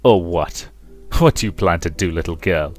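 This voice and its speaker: An ancient male predator who feeds on people, callous and amused, talking down with silky condescension, as a man reads him out. The voice sits low, and soft music plays behind the visual novel reading. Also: moderate pace, close to an everyday voice. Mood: cold.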